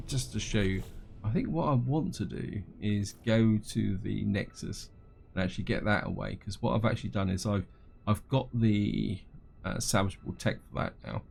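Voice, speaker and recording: Congested, gravelly low-voiced man. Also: a little mellow, slight background noise. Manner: rambling, thinks aloud with fillers and restarts; reads on-screen game text aloud. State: congested, croaky voice from a cold. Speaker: gameplay commentator. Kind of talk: casual gameplay commentary.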